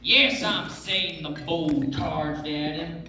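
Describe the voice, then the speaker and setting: A man playing a boastful, excitable Old West teller of tall tales, emphatic and folksy, on stage. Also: fairly high pitch, takes it slowly, exaggerated voice. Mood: insistent. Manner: emphatic, folksy, dramatic storytelling. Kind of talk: stage readers theatre performance. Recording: very echoey hall, far mic, noisy.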